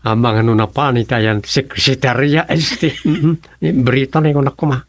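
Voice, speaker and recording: An actor playing an exasperated adult son in an Ilocano family radio drama, grumbling earnestly with an Ilocano accent. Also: male voice, medium pitch, steady tone, normal speed, dry recording, natural voice.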